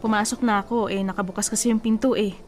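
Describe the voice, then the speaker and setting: An actress playing a blunt, bossy female cousin in a radio drama, teasing and scolding with a sharp tongue. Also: medium pitch, steady tone, fast, slight background noise, close to an everyday voice.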